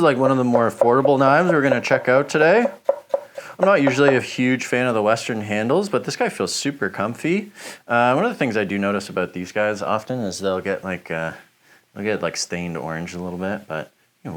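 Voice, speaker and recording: A man giving a relaxed, chatty review, rambling with lots of fillers as he chops. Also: fairly low pitch, fairly quick, slight background noise. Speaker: knife shop reviewer. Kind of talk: casual product review while chopping.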